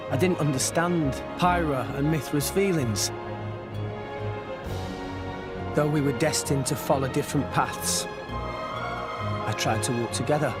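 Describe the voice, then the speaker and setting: A man's voice: medium pitch, lightly acted. An actor voicing an earnest, stubborn and brave teenage boy hero in a video game, declaring himself with feeling. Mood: somber resolve.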